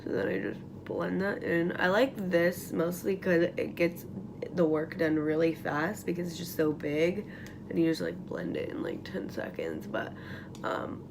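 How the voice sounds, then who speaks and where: A young woman with a raspy morning voice chatting casually through a makeup tutorial at home, full of likes and ums and trailing off into whispers. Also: lowish voice, noisy background. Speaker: beauty vlogger. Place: at home, getting ready.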